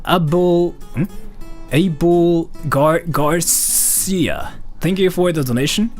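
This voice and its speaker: A man's voice: fairly high pitch, takes it slowly, a little mellow, soft background music. A man from Japan streaming a live Q&A, rambling with lots of "like" fillers while thanking donors. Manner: rambling, heavy use of "like" fillers. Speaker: live streamer reading donations.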